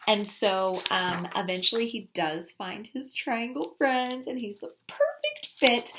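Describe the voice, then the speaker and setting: Woman recommending books over clear background noise. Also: on the high side. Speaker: children's book reviewer. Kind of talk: casual book recommendation, showing pages. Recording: clearly noisy recording with clicks.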